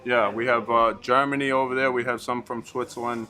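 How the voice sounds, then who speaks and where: Male bar staffer, medium pitch, casual, plainly explanatory talk. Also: level tone, fairly quick, pushed and bright.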